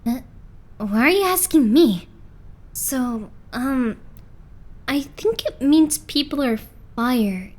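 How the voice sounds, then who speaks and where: A woman plays an anxious teenage boy in an anime fandub. The voice is high and slow-paced, leaping up and down with whiny, flustered melodrama. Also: expressive, a little mellow. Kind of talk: anime game fandub acting.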